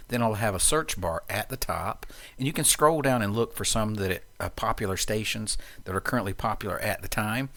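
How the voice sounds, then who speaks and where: A man narrating a software tutorial in a casual, plain-spoken way, explaining things step by step. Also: fairly low pitch.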